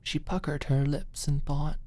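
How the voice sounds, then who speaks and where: A woman's voice: deep voice, fairly even intonation, measured pace, darkish tone. A woman reading scripted fiction expressively as an audiobook narrator in an ASMR style.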